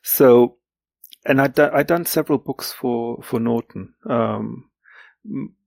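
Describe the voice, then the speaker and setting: A man in his sixties whose mother tongue is German, speaking reflectively and haltingly as an interview guest, often repeating words for emphasis. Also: medium pitch, vocal fry, a little mellow, no echo. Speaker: guest, literary translator. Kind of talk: reflective podcast interview talk.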